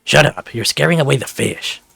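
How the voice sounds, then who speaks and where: A man plays an older male dragon from a visual novel, gruff and grumbly yet affectionate. The voice is husky with a heavy gravelly creak. It is recorded dry. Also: medium pitch, lively intonation, normal speed, sharp tone, no echo, faint music behind, exaggerated voice. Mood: grumpy.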